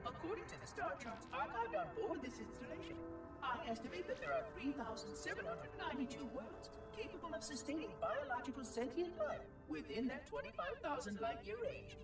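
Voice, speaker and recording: A fussy machine monitor from a video game, brooding with formal precision. The voice is high and wildly animated in pitch. It echoes over loud music. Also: male voice, a little fast, exaggerated voice. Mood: precise, ominous. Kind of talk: scripted game cutscene monologue. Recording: echoing, distant, loud music behind.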